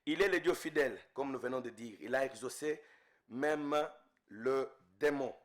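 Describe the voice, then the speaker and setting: A man's voice: medium pitch, normal speed. A man from Congo leading an intense spoken prayer as a preacher, fervent and repeating invocations, his voice rising and falling in waves.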